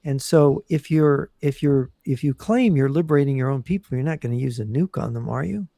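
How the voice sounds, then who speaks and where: Gravelly man explaining at length. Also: mid-range voice, expressive, mellow, no echo, studio quality. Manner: measured, explanatory, many fillers and restarts. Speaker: guest analyst. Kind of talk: casual podcast interview.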